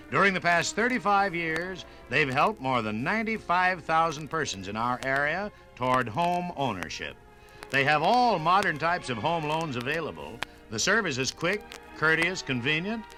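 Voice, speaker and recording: Male 1950s radio narrator: bright, polished announcer delivery, animated intonation, scripted. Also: fairly high pitch, normal speed, faint music behind, rough recording.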